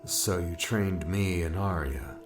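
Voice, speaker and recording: A man's voice: low voice, slow pace, over music. A man voicing an earnest young male student who speaks plainly and questioningly, a little exasperated, in an audiobook. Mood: puzzled.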